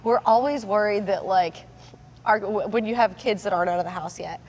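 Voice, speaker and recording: A woman's voice: mid-range voice, a little fast, darkish tone. A woman, hosting a podcast in chatty, casual conversation and laughing often. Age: 30s. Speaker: podcast host. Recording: faint background noise.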